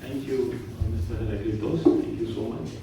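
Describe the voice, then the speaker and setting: A man's voice: medium pitch, fairly even intonation, a little fast. A man acting as master of ceremonies, politely thanking one speaker and introducing the next. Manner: polite, formal. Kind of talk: formal event introductions. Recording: echoey hall, distant mic, loud noise.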